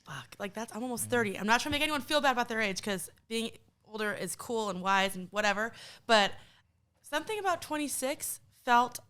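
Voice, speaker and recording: A woman's voice: on the high side, lively intonation, a little fast, fairly bright tone, clear, resonant tone. Frank woman in her twenties, chatting. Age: 20s. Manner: frank, reflective, laughs easily. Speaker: podcast co-host. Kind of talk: casual chat.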